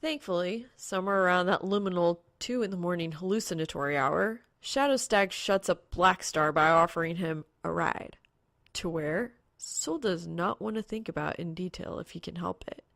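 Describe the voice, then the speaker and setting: Low, creaky woman narrator. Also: normal speed, fairly bright tone, no echo, studio quality. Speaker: podfic narrator. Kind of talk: scripted narration of fan fiction.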